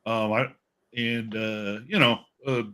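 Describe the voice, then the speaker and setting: Male livestream host, very sharp bright tone, rambling jokey chat, halting delivery. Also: lowish voice, steady tone, clear, resonant tone. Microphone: video call stream.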